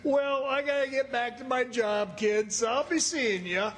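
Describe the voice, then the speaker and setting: A man's voice: very high-pitched, a little slow, fairly bright tone, a little hiss or hum. A man playing a jovial, folksy old caretaker who chuckles at his own corny jokes in an old-time radio comedy.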